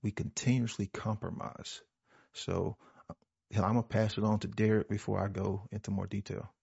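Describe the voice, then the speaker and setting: A man with a husky, fairly low voice speaks in an earnest, preachy way about matters of the spirit. He repeats phrases for emphasis. The audio is dull and lo-fi. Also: level tone, normal speed, darkish tone, dry recording. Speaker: panel speaker. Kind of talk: reflective spiritual talk.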